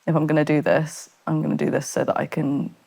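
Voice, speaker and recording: A woman from Britain with a low voice talks as a guest on a podcast. She rambles in a chatty, self-deprecating way, full of fillers. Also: flat delivery, a little fast, gravelly, warm tone, slightly rough. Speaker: guest, fashion photographer. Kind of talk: casual podcast conversation.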